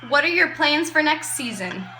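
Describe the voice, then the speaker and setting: A woman playing a brash, slangy radio reporter and pitchwoman in an amateur 1920s broadcast. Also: expressive, moderate pace. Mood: excited. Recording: roomy echo, music behind.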